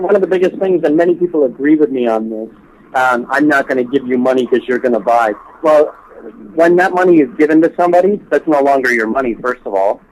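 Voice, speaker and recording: A man speaking as a guest in a telephone interview, earnest and halting, often repeating words as he makes his case. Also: mid-range voice, low-quality recording. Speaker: interview guest, homelessness awareness activist. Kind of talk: telephone interview, spontaneous advocacy talk. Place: on a telephone call.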